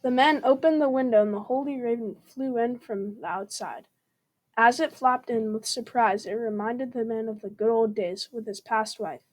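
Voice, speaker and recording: Woman w a higher voice reading a story from a script. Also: normal speed, poor audio. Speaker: student reader.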